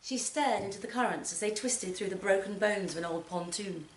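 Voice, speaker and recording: A woman giving a measured, expressive live reading of a short story, with deliberate pauses. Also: medium pitch. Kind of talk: dramatic literary reading to a live audience. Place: performance space in a library centre. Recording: roomy, distant mic, background noise.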